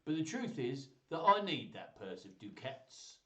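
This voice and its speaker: A man's voice: mid-range voice, very animated, unhurried, a bit bright. A man voicing a lonely, gallant young wrestler in a storybook reading, earnest and sorrowful, with a Cockney accent. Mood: sad, earnest. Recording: roomy echo, distant microphone.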